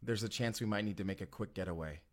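A man's voice: fairly low pitch, fast, close to an everyday voice. A man playing an urgent, determined time traveler in an audio roleplay drama, earnest and intense. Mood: urgent, planning. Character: urgent, determined male time traveler, protective of his partner.

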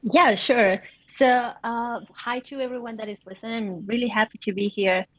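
A woman's voice: on the high side, fairly even intonation, moderate pace, no echo. Young Colombian-accented woman, dry muffled sound. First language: Spanish. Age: young adult. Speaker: interview guest, PhD student. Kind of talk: casual, conversational answers.

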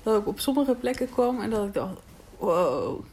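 A woman's voice: mid-range voice, very animated, normal speed, darkish tone. A woman in reflective, casual conversation as an interviewer, thoughtful and tentative, often agreeing.

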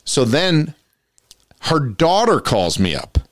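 A man's voice: on the high side, sing-song, slow pace, no echo, clean studio sound. A man hosting a podcast in casual chat, a rambling storyteller who chuckles often, his voice congested from allergies. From Western Colorado. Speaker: podcast host.

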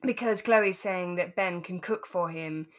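A British woman co-hosting a relaxed chat podcast, giggly and self-mocking as she tells things in an animated way. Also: on the low side, unhurried. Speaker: podcast co-host. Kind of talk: relaxed chat about a radio drama.

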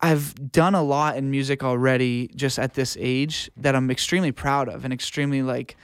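A man's voice: medium pitch, steady tone, moderate pace, clear, resonant tone, dry recording. A young North American man, an interview guest, talking in a casual, reflective, informal way. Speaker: interview guest, music producer and audio engineer. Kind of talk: casual chat.

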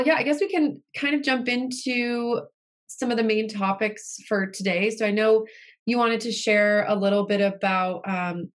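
A woman hosting a casual interview, friendly and relaxed, speaking with fillers and small laughs. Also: medium pitch. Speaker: podcast host.

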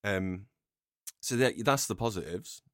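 A man's voice: on the low side, unhurried, no echo. A man talking casually as a co-host in a podcast discussion.

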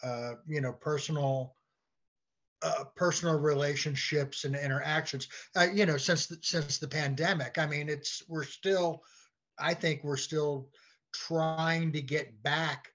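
A man speaking as a podcast guest in a halting, conversational way, often repeating words as he goes. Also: on the high side, fairly even intonation, fairly bright tone. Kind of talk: conversational discussion.

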